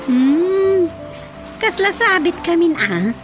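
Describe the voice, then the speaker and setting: A homely village wife from a radio drama comedy, with a high voice and a conversational, questioning way of talking. Music plays behind her. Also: big pitch swings, takes it slowly, full character voice.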